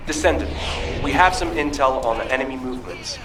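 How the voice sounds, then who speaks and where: An actor playing a military intelligence officer giving an urgent mission briefing in a video game. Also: male voice, medium pitch, fairly quick, noisy background, close to an everyday voice. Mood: urgent, official. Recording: distant, echoey, loud gunfire behind.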